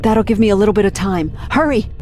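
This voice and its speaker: An actress playing a resourceful vampire computer expert in a video game, giving focused instructions. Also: female voice, medium pitch, fast, noisy background, lightly acted. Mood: focused, urgent.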